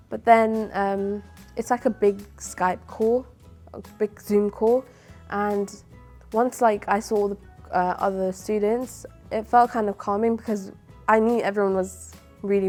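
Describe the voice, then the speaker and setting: A woman's voice: medium pitch, fairly even intonation, unhurried, warm tone, soft background music. A woman from England, a medical student, speaking casually and with many fillers. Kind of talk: interview answers.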